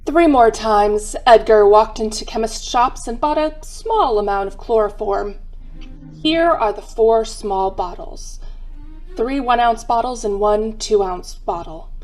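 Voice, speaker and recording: A woman's voice: a little high, slow, a little mellow, soft background music. A woman giving dramatic scripted narration for a mystery radio play, wry and suspenseful.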